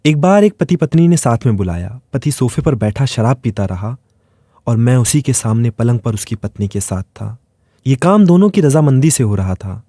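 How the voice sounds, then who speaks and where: A man's voice: mid-range voice, animated, moderate pace, warm tone, dry recording, studio quality. A man reading a scripted first-person dramatic story as its narrator.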